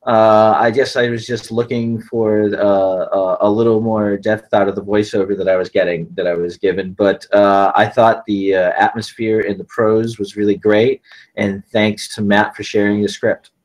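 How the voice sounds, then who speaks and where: A man giving casual feedback on a piece of writing in a hesitant, halting manner. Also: on the low side, level tone, a little mellow, rough recording. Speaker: table read participant giving feedback. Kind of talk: casual spoken critique.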